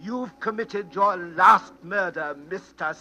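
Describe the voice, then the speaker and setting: A high, tense, anguished accuser. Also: male voice, slow, bright, forceful tone, muffled recording, slight background noise, full character voice. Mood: grim, accusing. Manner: grave and melodramatic. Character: anguished, accusing crime-obsessed man bent on vengeance. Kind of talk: old-time radio suspense drama acting.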